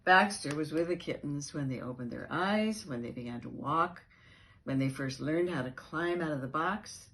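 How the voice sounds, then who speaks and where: A woman reading a children's picture book aloud, expressively, stressing key words and adding asides as storyteller. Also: low-pitched. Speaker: storyteller, reading organisation's founder.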